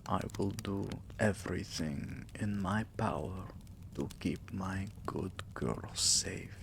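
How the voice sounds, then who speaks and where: Casting: male actor as possessive, tender vampire king for ASMR roleplay; very low, gravelly murmur, soothing and dominant. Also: slight background noise, full character voice. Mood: tender, protective. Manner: soothing, intimate, slow and murmuring, often whispering.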